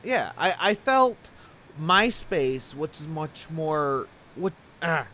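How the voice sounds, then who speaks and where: Male interviewer with a slightly high voice, chatty and informal, given to rambling opinions. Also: fairly bright tone. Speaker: interviewer and online video creator. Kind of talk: casual conversational interview.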